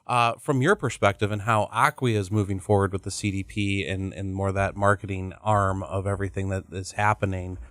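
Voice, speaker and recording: A man hosting a podcast, asking a long, halting question with many restarts. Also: deep voice, fairly even intonation, fairly bright tone, no echo, clean studio sound. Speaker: podcast host. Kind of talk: long-winded interview question.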